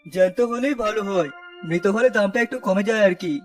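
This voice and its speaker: A man's voice: sits high, quick pace, faint music behind, close to an everyday voice. A man playing a polite, money-minded bird buyer in a children's cartoon. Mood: polite, bargaining.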